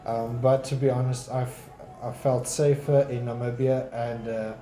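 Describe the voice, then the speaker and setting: A man's voice: medium pitch, little pitch movement, unhurried, dark tone. A young man from South Africa chatting casually as a customer, hesitant with frequent ums and restarts. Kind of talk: casual chat. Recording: echoey room, faint music.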